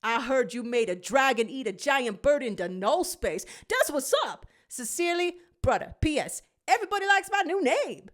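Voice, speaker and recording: A brash weasel henchman, as a woman acts him out, talks in punchy street slang. He is loyal and tough, pitched high with lively swings. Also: moderate pace, few pauses. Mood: brash, admiring.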